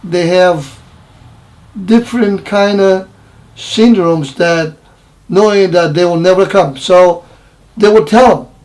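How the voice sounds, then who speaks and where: A man in his seventies from Romania with a Romanian accent, recounting his wartime childhood in an interview in halting, repetitive English. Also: high voice, darkish tone, poor audio. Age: 70s. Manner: halting, repeats phrases, broken English grammar. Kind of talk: personal wartime recollection interview.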